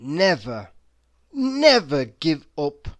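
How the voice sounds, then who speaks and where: Someone reading joke motivational quotes out loud, super slow and dramatic, voice moves around a lot. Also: mid-range voice, huge pitch swings. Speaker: reader of quotes.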